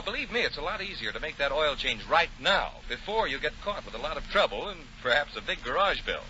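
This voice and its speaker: A man announcing on 1940s radio with folksy, emphatic patter and sponsor pitches. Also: pushed and bright. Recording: dull, noisy 1940 radio recording.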